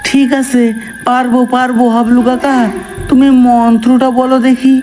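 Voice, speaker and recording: A woman's voice: high voice, level tone, normal speed, warm tone, close to an everyday voice. A woman voicing an earnest, determined young village man in scripted cartoon dialogue, speaking insistently. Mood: worried, determined.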